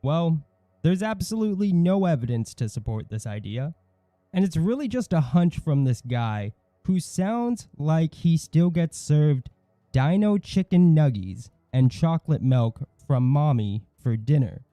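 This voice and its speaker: Man, channel host, very mellow dark tone, scripted commentary, snide, dry sound. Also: fairly high pitch, expressive, unhurried, dry recording.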